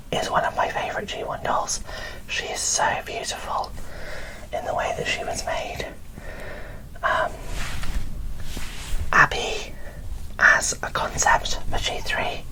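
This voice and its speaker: A woman whispering in a breathy, barely voiced ASMR style, presenting dolls with gentle, deliberate phrasing into a close microphone. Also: poor audio. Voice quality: breathy whisper with little voicing. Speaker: doll collector presenting an unboxing.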